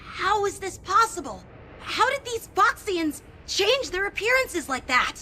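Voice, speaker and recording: An actress playing an alert, serious young woman investigating impostors in a video game, urgent and quick to worry. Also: very high voice, big pitch swings, sharp tone, slight background noise, exaggerated voice. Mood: earnest. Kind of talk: game voice acting.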